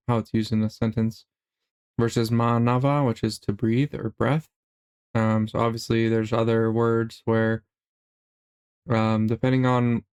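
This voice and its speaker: A middle-aged man narrating a slideshow language lesson in a relaxed way, with many fillers and restarts. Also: medium pitch, clear, resonant tone. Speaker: language teacher.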